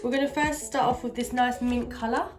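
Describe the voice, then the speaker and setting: Brief: woman vlogger, bunged-up nasal voice, a little high, chatty with music beneath. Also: steady tone, brisk. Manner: chatty, many fillers. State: stuffy nose from a cold. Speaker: beauty vlogger. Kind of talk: casual makeup tutorial.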